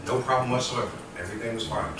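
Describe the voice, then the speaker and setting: A man who works as a paramedic, arguing his point forcefully at a public meeting. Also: mid-range voice, fairly even intonation, fast talker, noisy background. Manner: forceful, argumentative. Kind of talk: meeting debate. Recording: echoey room from far off.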